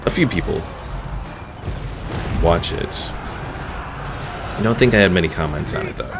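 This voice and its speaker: Deep gravelly man streaming a game. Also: animated, unhurried. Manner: giving unit orders aloud and answering chat. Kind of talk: casual live gameplay commentary. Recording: game music over the voice. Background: game music.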